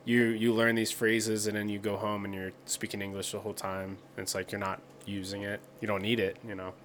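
A young man with a lowish voice co-hosting a relaxed podcast, rambling through his stories with loose filler words. Faint room ambience sits under his voice. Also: brisk, slight background noise. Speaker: podcast co-host. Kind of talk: casual podcast chat.

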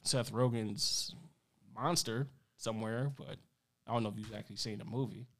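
A man's voice: on the low side, fairly even intonation, moderate pace. A man hosting a casual podcast chat, reading through film titles and laughing often. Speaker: podcast host.

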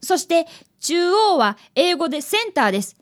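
A woman's voice: very high-pitched, sing-song, normal speed. A woman voicing an eager, polite fifth-grade boy apprentice detective in a radio drama. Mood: proudly explaining. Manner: earnest, polite boyish speech.

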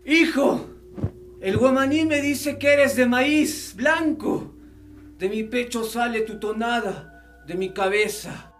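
A man acts out a revered old ritual dancer near death in a radio drama. The voice is strikingly high and unhurried, solemn and halting, with light music behind it. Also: a bit bright, full character voice. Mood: solemn, visionary. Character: dying old male Andean ritual dancer, revered master.

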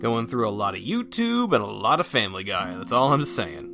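A man's voice: fairly low pitch, sing-song, fairly quick, creaky, music in the background. A man talking casually on his own as a podcast host, thinking aloud in a folksy, self-effacing way. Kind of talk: casual solo rambling chat.

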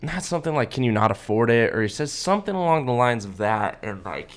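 A man's voice: medium pitch, sing-song, quick pace. A young adult man co-hosting a podcast, telling personal stories in a casual, rambling way and acting out the quotes. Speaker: podcast co-host. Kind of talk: casual anecdote-telling chat.

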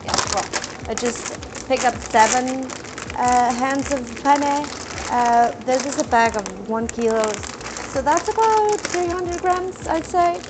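A young woman with a self-described squeaky voice chatting casually in her home kitchen while cooking, rambling with fillers and restarts and trying to talk slowly. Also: lively intonation, background noise. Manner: deliberately talking slowly. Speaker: cooking vlogger.

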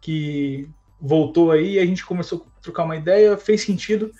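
A man chatting casually as a podcast guest, relaxed and slangy, often tagging his sentences with filler words. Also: fairly high pitch, normal speed. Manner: relaxed, slangy, fills with "né, cara". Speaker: podcast guest, tech lead. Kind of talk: casual chat. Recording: dull lo-fi sound with faint music.

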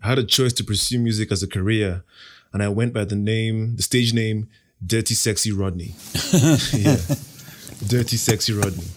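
A man's voice: on the low side, normal speed. A young man from Nigeria, an Igbo speaker, answering interview questions in a confident, playful, self-assured way. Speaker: podcast host, interview guest.

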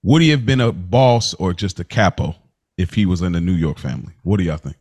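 A man's voice: low voice, fairly quick, darkish tone, no echo, studio quality. A man hosting a casual recap podcast, talking in colloquial, slangy phrasing. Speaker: podcast host.

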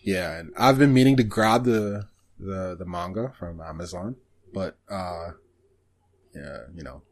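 Casual podcast co-host, male, lowish voice, hesitant and halting delivery that tends to trail off. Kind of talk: casual anime discussion.

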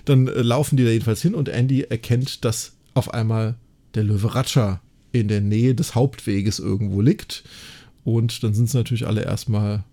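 A man's voice: fairly low pitch, fairly even intonation, moderate pace, dark tone. A man recapping and discussing a story casually as a podcast co-host, chatty and enthusiastic, often adding little tag questions for agreement.

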